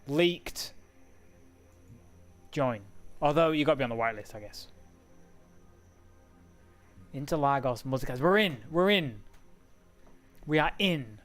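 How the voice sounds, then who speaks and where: A man live-streaming a racing sim, chatting casually and talking to himself as he clicks through menus, with frequent laughs. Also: mid-range voice.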